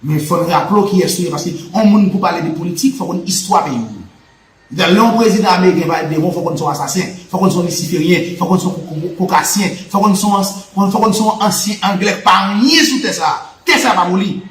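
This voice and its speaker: Fervent high-voiced Haitian man. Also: fairly quick, reverberant, faint music behind. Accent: Haitian Creole. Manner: fervent, repetitive, preaching cadence. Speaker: channel host. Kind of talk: live political and history talk.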